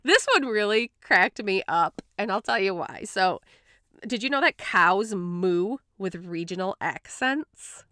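A woman's voice: mid-range voice, lively intonation, measured pace, bright, forceful tone, clear, resonant tone, no echo, clean studio sound. A woman podcast co-host from Michigan with a Western Michigan accent that has a touch of the Upper Peninsula, chatting casually, swearing freely and laughing often. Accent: Western Michigan, Upper Peninsula tinged.

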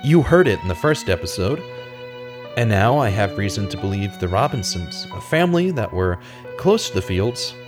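A man playing an earnest radio host turned true-crime detective, narrating a scripted audio drama in a measured, dramatic way. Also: medium pitch, lightly acted.

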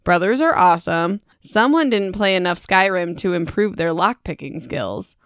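A woman's voice: lowish voice, expressive, moderate pace, a bit bright, clean tone, no room sound, studio quality. A young adult woman hosting a podcast, recapping a novel in a chatty, rambling way with self-deprecating asides. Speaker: podcast host. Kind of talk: casual book recap podcast.

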